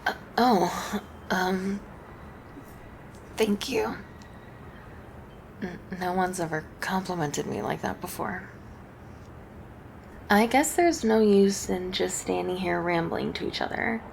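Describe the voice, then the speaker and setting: Woman playing a low-voiced, creaky, friendly succubus. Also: slow, long pauses, a little hiss or hum. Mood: bashful, then playful, curious. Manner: casual, chatty, gently teasing. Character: wholesome friendly succubus demon woman, playful and bashful. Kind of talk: ASMR roleplay addressed to the listener.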